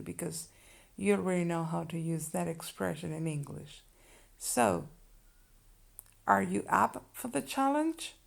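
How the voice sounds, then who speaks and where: Woman, unhurried teaching pace, gravelly fry in the voice, language teacher. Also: medium pitch. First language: Spanish. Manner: slow and deliberate, checks in with "okay?". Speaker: Spanish teacher. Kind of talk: language lesson.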